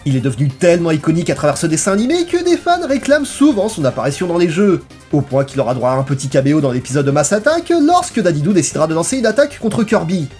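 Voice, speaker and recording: A narrator delivering a scripted video essay in an expressive, emphatic and colloquial way over background music. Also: medium pitch, fast, few pauses.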